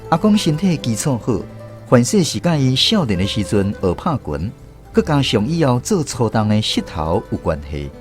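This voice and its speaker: A man's voice: medium pitch, sing-song, normal speed. A man with a Taiwanese accent narrating a radio drama story. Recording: music under the voice. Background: instrumental music.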